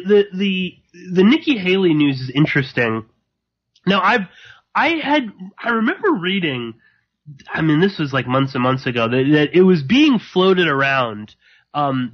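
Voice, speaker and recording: A man hosting live radio alone, rambling and halting with many fillers, a slight sore throat in his voice. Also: a little high. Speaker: podcast host. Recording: dull lo-fi.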